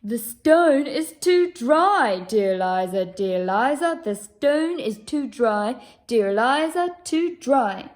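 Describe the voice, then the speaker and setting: A woman voicing a hapless, helpless man from a children's storybook, with plaintive, drawn-out sing-song questions. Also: on the high side, lively intonation. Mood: helpless complaint.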